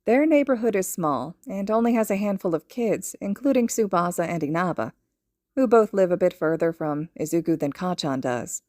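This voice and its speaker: A woman narrator with a fairly low voice reads a scripted story. The sound is close and dry, with no room around it. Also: lively intonation, moderate pace, a little mellow, dry recording. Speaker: podfic narrator.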